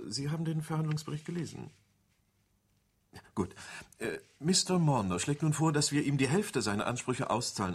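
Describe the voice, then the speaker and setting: An actor in a German radio drama playing a shrewd, dryly sardonic insurance manager, formal and businesslike, with a husky voice. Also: male voice, mid-range voice.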